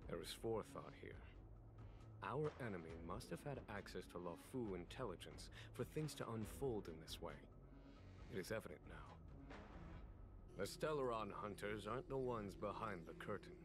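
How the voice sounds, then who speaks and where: With music clearly behind him, a calm and shrewd male general from a video game speaks in a lowish voice. He is measured and authoritative, musing over each point without any hurry. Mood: calm, assured. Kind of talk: scripted video game voice acting. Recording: background music under the voice.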